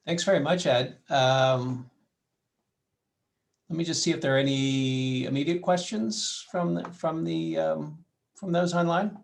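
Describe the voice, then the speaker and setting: A man's voice: a little high, a little slow, gravelly. A man chairing an online meeting and moderating the question session. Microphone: online meeting microphone.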